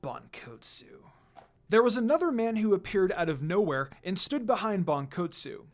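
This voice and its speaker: A man narrating fan fiction as an expressive storyteller. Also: sits high, a little fast.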